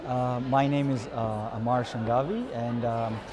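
A man's voice: medium pitch, steady tone, measured pace. Easygoing man from Tanzania, crowd around. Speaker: economics researcher. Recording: chattering crowd behind.

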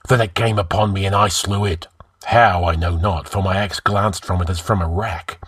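Man doing a deep, growling barbarian king. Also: steady tone, normal speed, a bit bright, breathy edge, no room sound. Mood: wrathful. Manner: grunting, blunt, imperious. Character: gruff, wrathful barbarian warrior king.

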